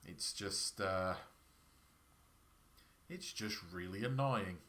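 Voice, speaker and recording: A man's voice: sits low, slow, sharp tone. A man with a Perthshire Scottish accent and a scratchy throat from a cold, chatting casually and rambling while he streams a game. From Scotland. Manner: rambling, chatty, self-deprecating.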